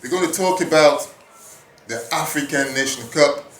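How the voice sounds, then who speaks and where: A man in his thirties, raised in France, hosting a casual podcast monologue in an animated, hyping way with casual swearing, recorded at a gym. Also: a little high, moderate pace, echoey room, slight background noise, low-quality recording. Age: 30s. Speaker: podcast host. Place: gym at work.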